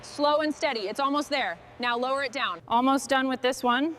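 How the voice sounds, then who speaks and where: A woman with a fairly high voice speaks as the boss of a workshop team. She gives brief, encouraging directions and remarks on the work. There is faint noise and clicking behind her. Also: moderate pace, a bit bright, poor audio. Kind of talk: short spoken workshop instructions and commentary.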